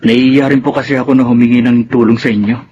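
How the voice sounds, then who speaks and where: A man's voice: mid-range voice, little pitch movement, fast, a little mellow, natural voice. A man playing a polite, protective young older brother in a Filipino radio drama, with a Filipino accent, on a dull, noisy recording. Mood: grateful, shy.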